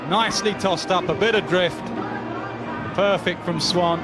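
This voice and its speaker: A man's voice: sits high, steady tone, a little slow, over loud music. A male cricket commentator giving analytical, conversational TV commentary from a commentary box. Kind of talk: TV sports commentary.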